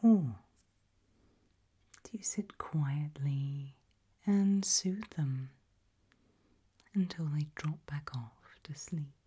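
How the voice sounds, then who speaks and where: A British woman whispering an ASMR roleplay in a breathy voice, putting slow, deliberate questions with long pauses. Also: sits low, dark tone.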